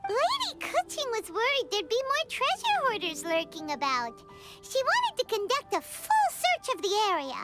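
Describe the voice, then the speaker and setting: A woman voicing a tiny, perky, blunt fairy companion in a video game, squeaky and childlike. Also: wildly swooping pitch, moderate pace, over loud music, heavily acted. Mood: chatty. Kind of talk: video game story dialogue.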